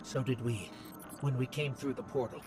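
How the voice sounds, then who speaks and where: A man's voice: mid-range voice, steady tone, moderate pace, natural voice. A man playing a small, earnest machine companion in a video game cutscene, reporting in a matter-of-fact manner. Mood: earnest, businesslike. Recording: loud music under the voice.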